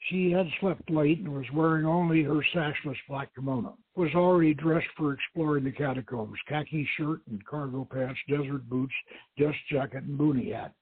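Husky man narrating, tinny radio sound. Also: mid-range voice. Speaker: host and dramatic reader. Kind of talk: dramatic audiobook-style narration. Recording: tinny band-limited radio stream, roomy echo.

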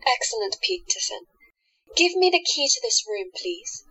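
Woman acting a high-voiced sweet hypnotic enchantress. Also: very animated, moderate pace, slightly rough, full character voice, poor audio. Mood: coaxing, commanding. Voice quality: soft, musical. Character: sweetly manipulative woman hypnotist, seductive and playful. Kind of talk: audio drama acting.

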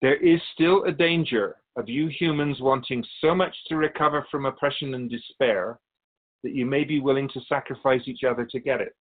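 A man playing a wise, wry otherworldly keeper of souls in a radio drama, speaking in a measured, musing, instructive way. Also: mid-range voice, close to an everyday voice. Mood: solemn, instructive. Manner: measured, didactic, musing pauses. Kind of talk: radio drama dialogue in interview form. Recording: dull, lo-fi sound.

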